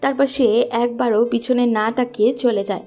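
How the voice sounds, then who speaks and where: A woman's voice: a little high, steady tone, normal speed, mellow, faint music behind. A woman narrator reading a scripted love story.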